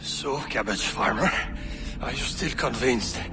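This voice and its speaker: An actor playing a proud, bitter French sea captain in a video game, mocking and theatrical, with a French accent. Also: male voice, medium pitch, unhurried, lightly acted. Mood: mocking, combative. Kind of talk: dramatic video game acting. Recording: loud music under the voice.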